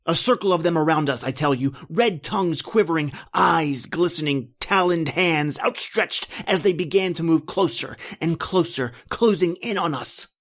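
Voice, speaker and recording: A man's voice: a little high, normal speed, hardly any pauses, a bit bright. Man playing a terrified, guilt-ridden doomed man. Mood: horrified, defiant. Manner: melodramatic, urgent, repetitive declamation. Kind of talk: old-time radio horror acting.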